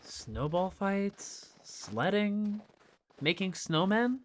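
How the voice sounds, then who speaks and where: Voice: medium pitch, animated, very slow. An actor playing an earnest, easily flustered young friend in a naturalistic audio drama. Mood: chatty. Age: young adult. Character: earnest, defensive young friend in a strange town.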